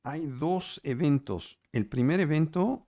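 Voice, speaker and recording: A man's voice: a little high, takes it slowly, mellow, no echo, clean studio sound. A man preaching and teaching the Bible over a video call, speaking Spanish as a second language with emphatic repeated words and frequent check-ins with his listeners. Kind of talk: online sermon and Bible study. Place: home, online service.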